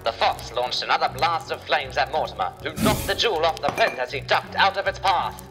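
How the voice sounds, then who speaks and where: A man's voice: a little high, fairly quick, music in the background. A man giving scripted, dramatic narration of a children's adventure story.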